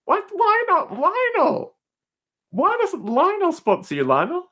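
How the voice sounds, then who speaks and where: A man's voice: very high-pitched, wildly swooping pitch, a little slow, pushed and bright. A man chatting casually as a podcast guest, teasing, opinionated and emphatic in how he talks.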